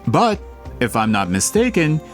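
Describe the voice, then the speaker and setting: A man's voice: medium pitch, wildly swooping pitch, unhurried, faint music behind. A man voicing a courteous, persuasive writer-investigator in a video game, polite and theatrical. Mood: smooth. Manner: polite, smooth-talking, theatrical.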